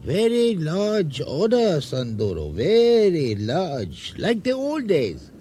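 A man playing a sly, suspicious, haggling island storekeeper in a radio drama, needling in deliberate, clipped formal phrases. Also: on the high side, huge pitch swings, mellow, a little hiss or hum, heavily acted. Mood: probing.

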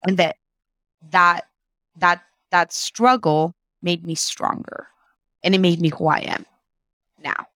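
A woman's voice: on the low side, a bit bright, no echo. A woman, reflectively telling her story as an interview guest and slipping Spanish phrases into her English. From Mexico.

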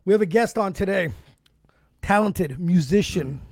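Chatty high-voiced Canadian podcast host. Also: male voice, moderate pace, a little mellow, no echo, clean studio sound. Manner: loose, slangy, chatty. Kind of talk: casual podcast chat.

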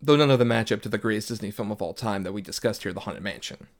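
A man's voice: lowish voice, fast. Jokey man co-hosting a podcast. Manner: jokey, playful banter. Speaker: podcast co-host. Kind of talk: casual movie podcast chat.

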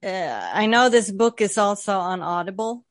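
A woman from Sweden interviewing a guest, hesitant and halting with fillers. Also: medium pitch, no room sound, studio quality. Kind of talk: casual interview conversation.

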